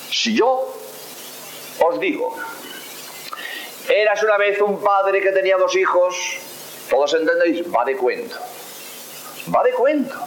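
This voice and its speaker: Animated priest, high lively voice. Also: male voice. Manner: rhetorical questions answered emphatically, animated. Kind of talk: lively catechetical lecture. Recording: hissy roomy old radio recording.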